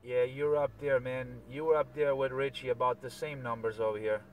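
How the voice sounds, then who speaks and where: A man's voice: mid-range voice, little pitch movement, a little fast, phone-quality sound. Distant man on a very noisy CB radio. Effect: CB radio transmission. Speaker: CB radio operator. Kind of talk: casual CB chat, giving signal reports.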